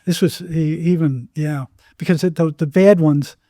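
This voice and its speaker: An older man speaks as a therapist being interviewed, recounting things conversationally. His voice sits a little high yet has a very mellow, muted tone. He halts and repeats words as he goes, and the recording is dry and close. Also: no echo. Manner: halting, repeats words, acts out remembered exchanges. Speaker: psychotherapist guest.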